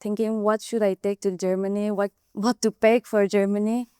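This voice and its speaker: Mellow, very clear young Indian woman. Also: mid-range voice, level tone, normal speed, no echo, clean studio sound. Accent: Indian English. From Manipur, northeast India. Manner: hesitant, frequent fillers. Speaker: nurse, guest. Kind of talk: podcast interview answers.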